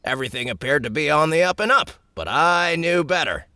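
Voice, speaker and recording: Guy doing a gloating gossipy guard, way up high and giddy. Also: a little fast, no room sound, clean studio sound, exaggerated voice. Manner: excitable and gloating. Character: gleeful gossipy male guard, a soldier.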